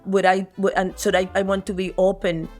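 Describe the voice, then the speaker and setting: A woman's voice: mid-range voice, flat delivery, fairly quick, darkish tone, soft background music. A woman in her sixties with a Cuban Spanish accent, a Spanish speaker, talking earnestly in an interview and often restarting as she searches for words. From Cuba. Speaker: guest artist. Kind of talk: reflective interview talk.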